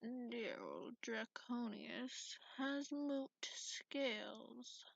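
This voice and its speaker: A woman voicing a cranky, sarcastic, sleepy dragon in a breathy, half-whispered ASMR roleplay, drawling haughtily. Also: high-pitched, dry recording, exaggerated voice. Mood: sardonic. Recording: muffled lo-fi close recording.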